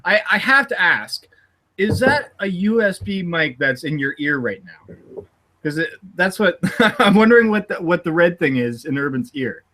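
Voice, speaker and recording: A man's voice: sits high, very animated, normal speed, sharp tone. A Canadian man hosting a podcast over a video call, laughing often and sometimes mumbling and restarting his words. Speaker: podcast host.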